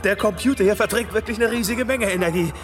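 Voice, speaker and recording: Man acting a high-voiced polite space adventurer. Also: quick pace, music in the background, natural voice. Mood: politely anxious. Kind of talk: comic science-fiction radio drama acting.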